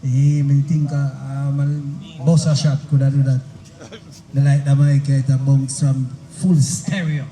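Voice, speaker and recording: A man, running a mic check at a sound system dance, in slow drawling patois banter. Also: mid-range voice, level tone, mellow. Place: outdoor sound system event. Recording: echoey outdoor sound system with music.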